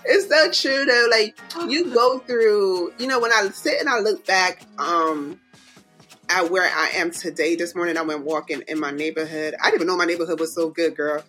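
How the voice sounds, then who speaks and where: A woman from Jamaica with a Jamaican accent, hosting a podcast in lively, emphatic casual chat and laughing often. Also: fairly low pitch, moderate pace, soft background music. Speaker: podcast host. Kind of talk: casual faith-filled chat.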